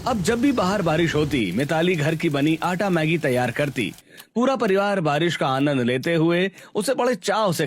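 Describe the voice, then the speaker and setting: A man narrates a scripted storybook tale in a slightly high voice. Faint music plays behind him as he tells it in the manner of a cartoon storyteller.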